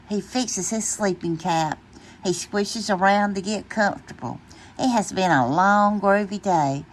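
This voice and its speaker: A woman reading a children's bedtime story aloud in an expressive, deliberate way. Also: medium pitch. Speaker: storytime reader.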